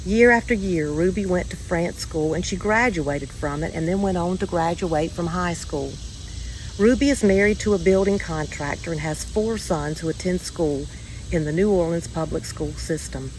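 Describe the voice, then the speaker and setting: Lower-voiced woman addressing families, noisy background. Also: normal speed, darkish tone. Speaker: church story-time reader and presenter. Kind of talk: read-aloud and earnest address to families.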